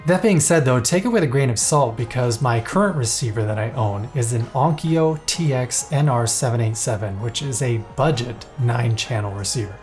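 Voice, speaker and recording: A man giving scripted, playful and enthusiastic narration for a product review. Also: mid-range voice.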